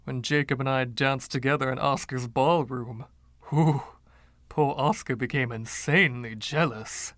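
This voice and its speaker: A man's voice: medium pitch, fairly even intonation, measured pace, fairly bright tone, no echo, close to an everyday voice. A man voicing a boastful, giggly 1920s dandy in a storybook reading, with a silky voice and theatrical, teasing old-fashioned slang. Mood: boastful, delighted.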